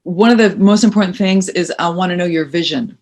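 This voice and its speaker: A woman's voice: on the low side, fairly quick, darkish tone. A middle-aged woman, a voice-over coach and actress, answering interview questions with emphatic, practical advice. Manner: practical, emphatic, uses second person advice.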